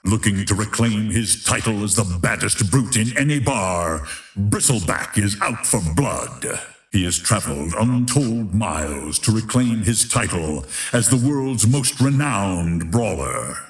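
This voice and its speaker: Grandiose deep-voiced fighting-game announcer, echoing. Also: male voice, lively intonation. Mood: grand, dramatic. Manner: theatrical, drawn-out, emphatic. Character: grandiose, menacing male fighting-tournament announcer of epic legend. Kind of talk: dramatic scripted fighting-game narration.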